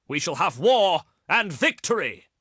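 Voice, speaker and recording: An actor playing a haughty, eloquent ancient Greek diplomat in a video game, speaking formally with dramatic pauses. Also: male voice, high-pitched, sing-song, bright, forceful tone, dry recording, clean studio sound, full character voice. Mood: defiant, warlike.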